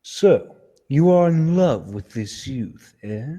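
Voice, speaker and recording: A man's voice: medium pitch, lively intonation, warm tone. A man acting a boastful, lustful king of winged Hawkmen in an amateur radio serial, grandiose and menacing. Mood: smug, leering. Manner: grandiose, slow, menacing delivery.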